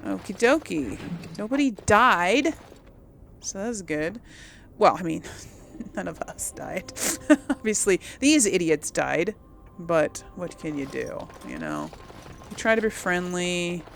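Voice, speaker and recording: A woman doing casual, chatty video game commentary with a creaky edge to her voice. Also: on the low side, big pitch swings, takes it slowly, a little hiss or hum. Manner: chatty, talks to the audience. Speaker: gaming channel host playing through a role-playing game. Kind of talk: casual gameplay commentary with in-character dialogue read-alongs.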